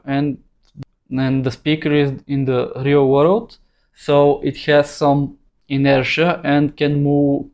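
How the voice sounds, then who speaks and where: Slow, halting male tutorial instructor. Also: on the high side, a little mellow. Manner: halting, thinking aloud in short phrases. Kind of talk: unscripted screen-recorded tutorial narration.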